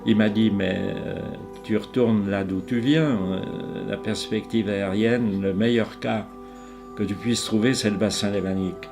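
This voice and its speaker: An elderly man speaks French in a low voice. He answers at a very slow pace, hesitating and repeating words as he thinks aloud, while music plays clearly behind him. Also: steady tone. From Ticino, Switzerland. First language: Ticinese dialect. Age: 80s. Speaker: painter interviewed. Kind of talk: reflective interview answers.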